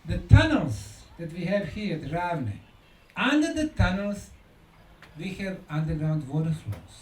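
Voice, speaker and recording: Male lecturer, high swooping voice, emphatic and deliberate, recorded in an echoey room. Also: big pitch swings. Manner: emphatic, deliberate, repeats key words for effect. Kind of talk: conference lecture. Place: conference hall lecture. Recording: echoey room, distant mic, faint noise.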